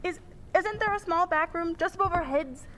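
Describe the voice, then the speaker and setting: An anxious female companion acted by a woman in old-time radio style. Her very high voice is urgent and fearful, echoing in a reverberant hall. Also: moderate pace, fairly bright tone, a little hiss or hum. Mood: nervous. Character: Margot, frightened female companion to an investigator.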